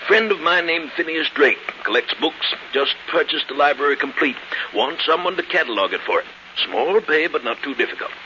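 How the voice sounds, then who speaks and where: Jolly teasing old uncle, sharp hearty voice, old radio play with hiss. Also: male voice, a little high, animated, brisk. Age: older. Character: genial teasing old uncle, wealthy invalid patriarch. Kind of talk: 1940s radio acting. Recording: muffled, hissy 1940s radio recording.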